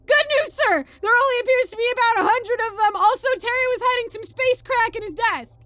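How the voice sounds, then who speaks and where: Obedient, excitable young private, acted by a woman, very high voice, snappy replies, comic sci-fi story. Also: normal speed, no room sound, natural voice. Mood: eager. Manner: snappy, eager military replies.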